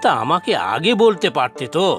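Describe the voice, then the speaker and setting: A man voicing a calm, caring village council chief in a cartoon, speaking in a measured, admonishing, dignified way. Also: sits high, music in the background. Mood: concerned. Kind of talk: Bengali cartoon dialogue.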